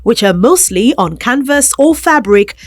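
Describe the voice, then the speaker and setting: A woman's voice: on the high side, lively intonation, measured pace, dry recording, clean studio sound. A woman with a Nigerian accent reading scripted narration as an arts reporter. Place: studio voice-over.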